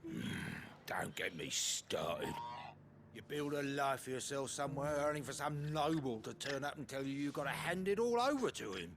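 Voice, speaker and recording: A man's voice: medium pitch, big pitch swings, normal speed, noisy background. An actor playing an embittered, defiant townsman in a fantasy game, grumbling and resentful. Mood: bitter defiance. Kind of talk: scripted fantasy game acting.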